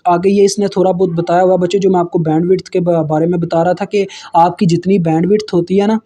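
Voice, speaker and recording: Young Pakistani tutor, 20s, high but mellow voice, casual and chatty, slows down to read textbook lines. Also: male voice, level tone. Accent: Pakistani Urdu. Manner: informal, addresses viewers as kids, frequent fillers and restarts; slowly reads English textbook lines aloud. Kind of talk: casual explanatory lecture over slides.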